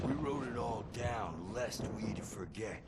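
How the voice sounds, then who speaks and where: An actor playing a rowdy, belligerent, crazy old rock-and-roll man in a video game, with a gravelly voice and blustering, erratic delivery. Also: lowish voice, expressive, slow, heavy background noise. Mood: gruff, scheming. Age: older. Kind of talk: video game character acting.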